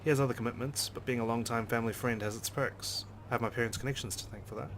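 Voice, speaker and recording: A man reading visual novel narration aloud as a let's player, in an expressive storytelling style. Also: mid-range voice, fast talker, slight background noise.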